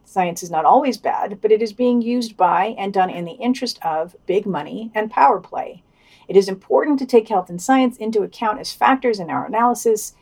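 A woman's voice: mid-range voice, a little mellow. A woman co-hosting a podcast, reading scripted news commentary and quotations with occasional wry asides. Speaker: podcast co-host.

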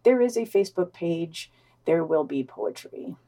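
A woman's voice: fairly low pitch, lively intonation, a little slow, mellow. A woman co-hosting a podcast, reading news summaries and long quotes with wry, dry asides. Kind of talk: casual news roundup with read-out quotes.

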